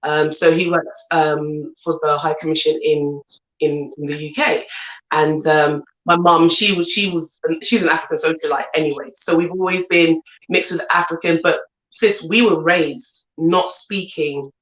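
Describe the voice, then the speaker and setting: A woman's voice: deep voice, fairly even intonation, normal speed, a bit bright, roomy sound, rough recording. A woman in her thirties with a London accent, a guest talking earnestly in a casual chat and often asking "do you see what I'm saying". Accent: London English. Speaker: guest, coach and entrepreneur.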